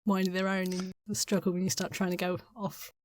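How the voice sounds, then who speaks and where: A woman's voice: lowish voice, little pitch movement, a little fast, a little mellow, no echo. A woman speaking casually as a guest author in a podcast interview. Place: padded podcast studio.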